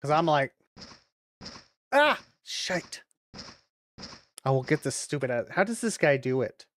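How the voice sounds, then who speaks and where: A woman's voice: low voice, very animated, a little slow, no room sound. A woman streaming a video game, commenting casually with a lot of exasperated swearing and exclaiming. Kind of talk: casual live gameplay commentary.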